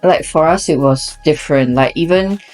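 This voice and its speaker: A young woman from Singapore with a Singaporean English accent, chatting in a relaxed, self-deprecating way on a podcast. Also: low voice, normal speed, faint music behind. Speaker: podcast co-host. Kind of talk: casual podcast chat.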